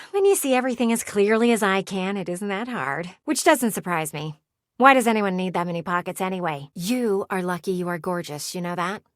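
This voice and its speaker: A nosy, dramatic female house window from a video game. She shares gossip in a conspiratorial, sassy tone, with lively swings of pitch. The sound is dry and close. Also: no echo. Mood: deflated, sheepish. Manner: conspiratorial, theatrical storytelling with teasing asides.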